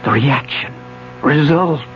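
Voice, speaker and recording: An actor playing an obsessed elderly physics professor in a 1970s radio drama, formal, insistent and fervent, with a declamatory delivery. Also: male voice, a little high, slow pace. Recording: dull 1970s radio broadcast, faint music.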